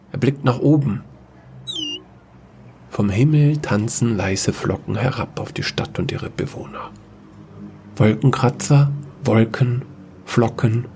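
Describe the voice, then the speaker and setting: A man with a fairly low, crackly voice narrates a science-fiction fairy tale for audio. His delivery is measured and scripted, in the manner of a storyteller. Faint noise sits behind the voice. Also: a little mellow.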